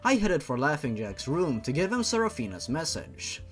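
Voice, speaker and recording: A man's voice: fairly high pitch, sing-song, moderate pace, soft background music. A man hosting a comic fan-fiction reading, narrating theatrically with mocking asides.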